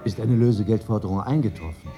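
A man's voice: fairly low pitch, quick pace, over music, close to an everyday voice. An actor playing an educated male medical author in a radio play, asking questions in an articulate, conversational way. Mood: curious. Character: educated medical author, curious, questioning old school friend. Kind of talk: 1980s German radio play dialogue.

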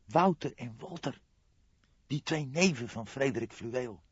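A man voicing a nervous, evasive café owner and informant with a hoarse, anxious delivery in an audiobook. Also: medium pitch, lively intonation, a little slow, no room sound, highs cut off, pitched above the performer's own voice. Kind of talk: audiobook character dialogue.

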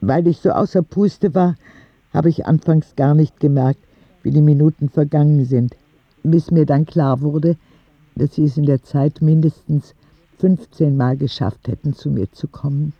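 A man's voice: a little high, steady tone, a little slow, breathy edge, no echo, highs cut off. A man playing a frank, cheeky ten-year-old boy who tells his story in candid, wry audio drama narration, in standard German. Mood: hushed, shaken.

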